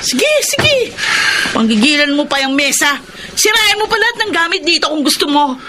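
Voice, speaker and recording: A shrill, furious jealous wife. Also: female voice, very animated, normal speed, over music. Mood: angry. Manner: shouting, accusing. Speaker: wife confronting her husband. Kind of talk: radio drama acting.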